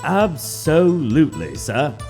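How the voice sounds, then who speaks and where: A man's voice: a little high, wildly swooping pitch, slow pace, darkish tone, full character voice. A man voicing a prim, obsequious head bellboy in a video game dub, overly formal and exact. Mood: precise. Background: music.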